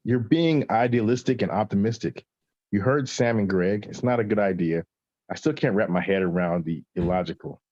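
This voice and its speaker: A man's voice: fairly low pitch, moderate pace, darkish tone, no echo, lo-fi sound, close to an everyday voice. A man playing a skeptical, rational young man in a comic-book table read. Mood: exasperated.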